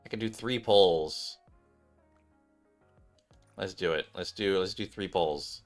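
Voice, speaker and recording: A man's voice: deep voice, slow pace. A man hosting a gaming video, giving casual, chatty commentary addressed straight to his viewers. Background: game music.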